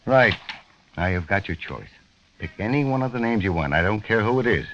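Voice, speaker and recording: An actor playing a brisk, pressing American magazine editor in an old radio drama. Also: male voice, lowish voice, lively intonation, lightly acted. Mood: brisk, commanding. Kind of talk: 1940s-50s radio drama acting. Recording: muffled, noisy vintage radio recording.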